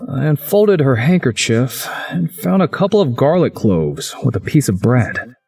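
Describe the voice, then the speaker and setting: A man's voice: medium pitch, sing-song, unhurried, vocal fry, darkish tone, husky, soft background music, heavily acted. A man playing a wry teenage schoolboy who narrates his own supernatural visual novel story. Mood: dry, wry. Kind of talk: first-person visual novel narration and dialogue.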